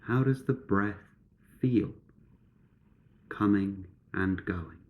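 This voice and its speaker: A man guiding a meditation in measured, instructive short phrases with pauses between them. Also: fairly low pitch, phone-quality sound. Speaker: meditation guide.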